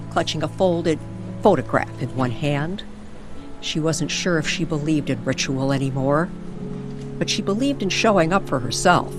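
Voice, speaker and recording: A woman narrating a scripted story in an old-time radio style, with deliberate, hushed storytelling phrasing. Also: low voice. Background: music bed.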